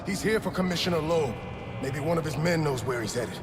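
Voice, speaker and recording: An actor playing a terrified, pleading male victim in a video game, stammering and frantic under loud music. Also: fairly high pitch, lively intonation, brisk, noisy background, natural voice. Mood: panicked. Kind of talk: video game cutscene acting.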